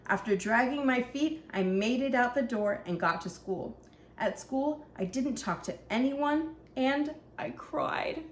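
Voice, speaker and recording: A woman's voice: fairly high pitch, animated, measured pace. Woman reading as an earnest little storybook ninja, echoey room. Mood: earnest, instructive. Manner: earnest, instructive, speaking simply to children. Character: young ninja child, earnest storybook narrator teaching positive thinking. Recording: echoey room, faint background noise.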